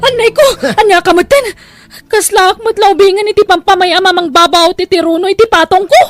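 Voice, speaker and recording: A woman's voice: extremely high pitch, a little fast. A woman playing a long-suffering, distressed wife in an Ilocano audio drama, emotional and pleading. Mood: anguished, angry.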